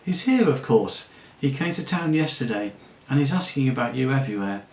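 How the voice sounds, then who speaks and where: A man voicing a loud, lazy, giggly young noblewoman who gushes in a high, shrill voice. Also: normal speed, reverberant, close to an everyday voice, rough recording. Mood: gushing.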